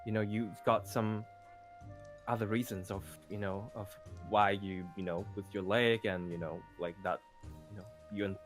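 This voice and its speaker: Earnest young male best friend played by a man, tender and halting, game roleplay, music behind. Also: fairly low pitch, steady tone, lightly acted. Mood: reassuring. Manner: halting, repeats words, pads with "you know". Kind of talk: improvised Minecraft roleplay acting.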